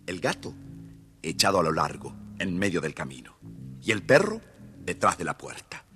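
Deep, very animated male storyteller. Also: normal speed, soft background music. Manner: playful, addressing young listeners. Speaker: storyteller narrator. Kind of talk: animated children's story narration.

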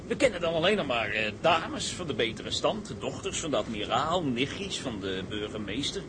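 A man acting an earthy, boastful sailor full of daydreams in a radio play. His voice is mid-pitched and bantering, with a clear engine-like rumble around it. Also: big pitch swings, moderate pace, lightly acted. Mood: mock-indignant, excited. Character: coarse, dreamy, boastful male sailor. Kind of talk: Dutch radio drama acting.

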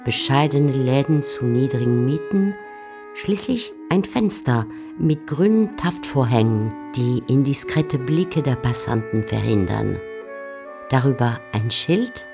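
Very deep-voiced female narrator. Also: lively intonation, unhurried, vocal fry. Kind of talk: scripted literary narration for radio drama. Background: guitar music.

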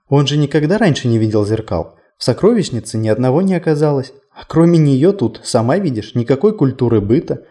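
A whimsical male city guide as a man reads him in an audiobook, chatting playfully and poking fun at himself. The voice is mellow and mid-pitched, with lively swings of intonation. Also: lightly acted. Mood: amused, chatty. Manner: conversational, playful, self-deprecating. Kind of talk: audiobook character dialogue.

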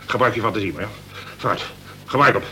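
A flustered colonel who runs a prison, talking quickly in a bright, tense voice. He has an officer's authority, in the manner of radio drama, on a slightly noisy recording. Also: lowish voice. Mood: bossy, agitated. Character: flustered prison governor, a colonel, bossy then deferential. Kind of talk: Dutch radio play acting.

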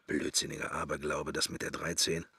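A brooding middle-aged man in a radio drama's inner monologue. His deep voice is full of gravel and murmurs in wry, wandering fragments. The sound is bone-dry and close, with faint music behind. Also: little pitch movement, fairly quick, sharp tone, no room sound, exaggerated voice. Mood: uneasy, wry. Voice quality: gravelly, husky. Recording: roomless, very dry studio sound.

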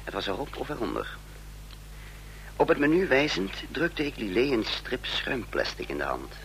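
An actor playing a cool, wry spy who narrates and acts in a radio play with a composed, instructing manner. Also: male voice, mid-range voice, wildly swooping pitch, moderate pace, gravelly, fairly bright tone, slight background noise, exaggerated voice, poor audio. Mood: tense narration. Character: cool, wry male spy narrating his own adventure.

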